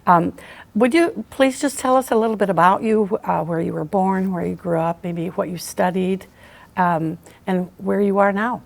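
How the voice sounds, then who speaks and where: A woman's voice: mid-range voice, moderate pace, creaky. A woman hosting a local TV author interview, asking questions and offering encouraging remarks. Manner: encouraging, warm affirmations. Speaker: local TV talk show host.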